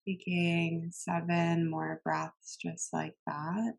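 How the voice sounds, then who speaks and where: A woman instructor leading a guided meditation in a calm, gentle manner, giving soothing cues with long pauses. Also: fairly low pitch, creaky.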